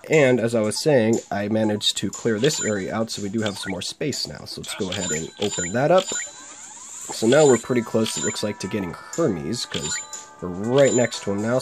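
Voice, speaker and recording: A young man with a sore throat chatting casually through a game, reading its lines aloud. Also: lowish voice. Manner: chatty, laughs while reading. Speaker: game let's play host. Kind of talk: casual gameplay commentary, reading dialogue aloud. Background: faint game music.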